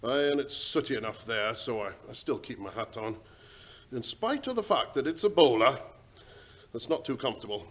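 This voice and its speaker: A man's voice: medium pitch, expressive, normal speed, fairly bright tone. A man playing a deferential, worried working-class English railway station master in an old-time radio drama, speaking hesitantly and respectfully in a folksy way.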